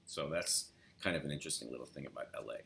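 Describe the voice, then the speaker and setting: A deep-voiced middle-aged man talks casually to the camera. He rambles a little, drops in fillers, and wanders through his points at an easy pace. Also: fast, vocal fry, a bit bright. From New York. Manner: rambling, filler-heavy, acts out quoted LA speech with rising upswing. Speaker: accent coach. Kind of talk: casual instructional talk to camera.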